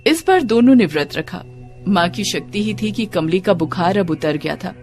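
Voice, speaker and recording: A woman's voice: on the low side, lively intonation, normal speed, a little mellow, soft background music. A woman narrating a scripted devotional story as a storyteller.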